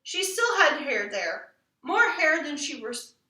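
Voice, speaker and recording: A woman's voice: high-pitched, very animated, slow pace, bright, forceful tone. A woman narrating a children's story expressively, as a storybook reader. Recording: echoey room, distant microphone.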